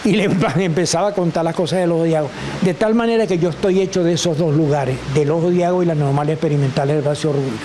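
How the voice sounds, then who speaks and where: An older man with a Venezuelan accent, a guest telling animated stories and acting out the voices of people he quotes. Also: a little high, fast, hardly any pauses, a little hiss or hum. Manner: expressive, acts out quoted voices, laughs often. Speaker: guest, actor and teacher. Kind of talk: animated storytelling.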